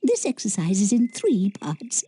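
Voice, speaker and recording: A woman's voice: medium pitch, wildly swooping pitch, a little slow, warm tone, breathy edge, no echo, full character voice. A woman playing an encouraging, bustling British witch teacher giving a lesson in a video game. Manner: schoolteacherly, encouraging.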